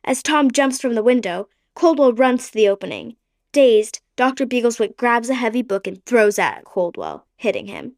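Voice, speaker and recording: A woman's voice: fairly high pitch, sing-song, moderate pace. A woman narrating a radio play in a vivid, dramatic scripted style.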